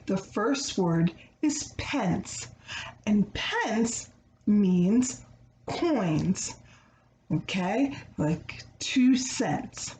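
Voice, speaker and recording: A woman reading a children's story aloud in a teacherly way, pausing to check in with her young listeners. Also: mid-range voice, mellow. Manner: addresses young listeners with questions and pauses. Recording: roomy echo, faint background noise.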